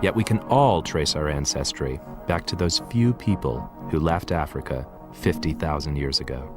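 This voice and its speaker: A man narrates a documentary in a measured, earnest tone. His voice sits low and steady. Loud music plays behind him throughout. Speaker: documentary presenter.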